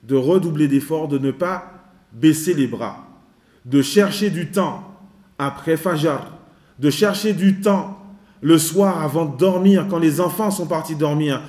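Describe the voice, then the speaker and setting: A man teaching a religious lesson in a measured way, repeating his advice and mixing in Arabic phrases. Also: on the high side, a little mellow. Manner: mixes in Arabic phrases and recitation. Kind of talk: religious lesson with questions and answers. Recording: echoey room.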